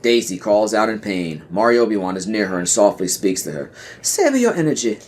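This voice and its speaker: A man's voice: deep voice, fairly quick, a little hiss or hum. A man reading stage directions as the narrator of a scripted audio play.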